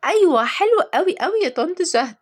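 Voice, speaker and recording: A bratty little girl of about eight, acted out by a woman, whiny and insistent in a storybook reading. Her voice sits very high and rattles along quickly with childish stubbornness. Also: sing-song. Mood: curious, eager. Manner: shouting protests, childish.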